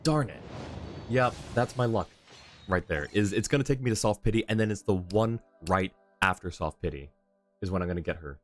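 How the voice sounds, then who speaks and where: A man's voice: fairly low pitch, a little fast. A man doing casual livestream gameplay commentary, muttering his thoughts aloud in short bursts and talking to chat. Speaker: game live streamer. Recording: game music behind the voice. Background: video game music.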